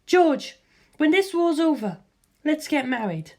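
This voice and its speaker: A reader voicing a cool, curt young woman warrior who speaks calmly in short lines in an audiobook. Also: high voice, unhurried, pitched above the performer's own voice, full character voice. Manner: calm, clipped and terse. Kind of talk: audiobook character voice.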